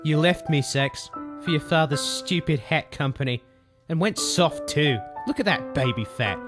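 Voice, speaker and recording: A woman's voice: sits low, normal speed, lightly acted. Woman playing a world-weary, tough adventuress over music. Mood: scornful. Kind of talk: dramatic comic-book dub.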